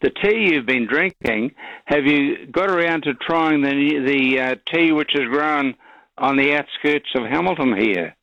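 A man's voice: on the high side, a little slow, no room sound. A man chatting casually as a caller to a radio show, over a dull phone line. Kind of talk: casual chat. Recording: dull band-limited phone call.

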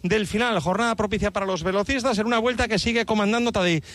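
A man with a Spain accent reports on cycling for live radio. His voice is strikingly high and comes through dry. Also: quick pace, a bit bright, no echo.

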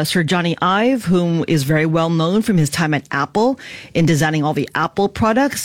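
Woman correspondent reporting live, steady explainer, close dry studio mic. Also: fairly low pitch, no echo. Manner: steady explanatory delivery with fillers. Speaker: business correspondent.

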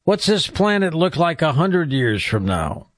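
A man's voice: on the high side, sing-song, measured pace, dry recording, studio quality. A man hosting a radio call-in show, putting questions to a guest and bringing in callers. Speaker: radio talk show host.

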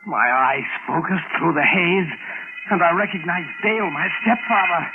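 A man's voice: sits high, moderate pace, breathy edge. An actor playing a haunted, suspicious young man in an old-time radio drama, intense and melodramatic. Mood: agitated. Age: young adult. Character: haunted, suspicious young man narrating his nightmare story. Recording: tinny old radio recording with music.

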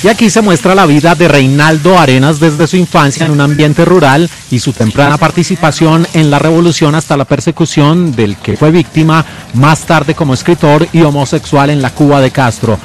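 A man presenting a film in scripted narration, giving titles and names with deliberate emphasis. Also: fairly high pitch, slight background noise.

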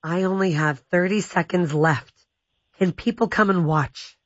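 A woman radio host with a naturally raspy voice, chatting in an upbeat way. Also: on the low side, measured pace, dry recording. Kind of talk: radio talk show. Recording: dull radio broadcast with music.

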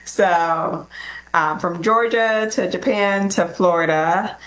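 A woman answering interview questions, unhurried and friendly. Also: lowish voice, fairly bright tone, poor audio. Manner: unhurried, friendly, often laughs lightly. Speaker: guest.

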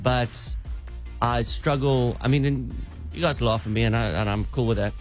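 A middle-aged man with a South African accent spins an animated story on morning radio. He keeps restarting his sentences as he talks into a studio microphone, with faint music running underneath. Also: medium pitch, moderate pace. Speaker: radio show host, prank caller. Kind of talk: casual breakfast radio banter.

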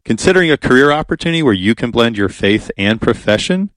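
A man reading a scripted sponsor ad in a brisk, plain way. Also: mid-range voice, animated, a bit bright, soft background music. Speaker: ad announcer. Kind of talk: scripted radio ad read.

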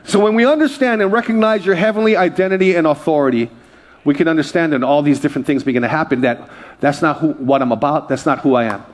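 A man's voice: a little high, quick pace. Fired-up man preaching, raised voice. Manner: energetic preaching with crowd call-outs. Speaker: pastor. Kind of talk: sermon.

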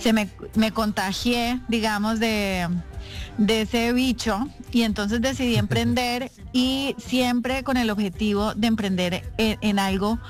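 A woman raised in Costa Rica, speaking as an interview guest in a measured way, with many fillers. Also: a little high, steady tone. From Colombia, raised in Costa Rica. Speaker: guest, company CEO. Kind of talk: radio interview. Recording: music playing faintly underneath.

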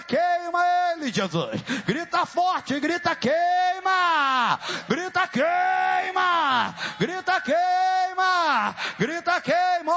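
Fervent high-pitched Brazilian preacher man, shouting. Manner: fervent shouting, commanding, repetitive chants. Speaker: evangelical preacher leading an exorcism. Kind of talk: charismatic deliverance sermon. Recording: dull lo-fi recording.

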